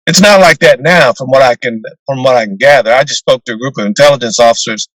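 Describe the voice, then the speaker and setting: An older man with a deep voice, a retired naval officer answering interview questions in a measured way with fillers and restarts, over a video call. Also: a bit bright, no room sound, poor audio.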